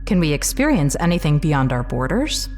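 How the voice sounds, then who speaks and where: An intent woman probes with short questions in a sci-fi audio drama, played by an actress. Her slightly low voice rings with long reverb over music. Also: sing-song, moderate pace, darkish tone. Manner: brief, probing questions.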